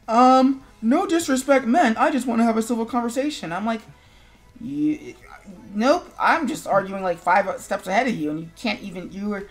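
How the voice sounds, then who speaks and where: An American woman streaming casual, opinionated chat in a sarcastic, blunt manner. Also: on the high side. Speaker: streamer, show host.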